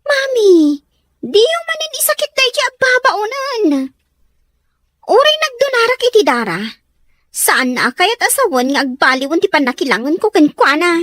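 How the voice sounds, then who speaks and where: Casting: actress as troubled teen daughter, Ilocano Filipino accent, extremely high voice, defiant and evasive, audio soap drama. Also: female voice, sing-song, moderate pace, fairly bright tone, dry recording, studio quality, full character voice. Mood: upset.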